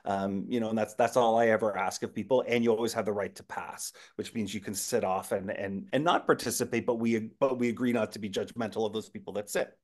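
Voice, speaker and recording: A man guest on a podcast, chatting casually as a team-building trainer and telling animated stories with lots of fillers and restarts. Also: on the low side, a little fast, hardly any pauses.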